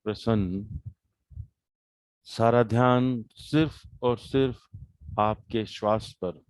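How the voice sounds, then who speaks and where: A man with an Indian accent leading a guided meditation, calm and measured, with deliberate pauses between short phrases. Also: medium pitch, clear, resonant tone, no room sound.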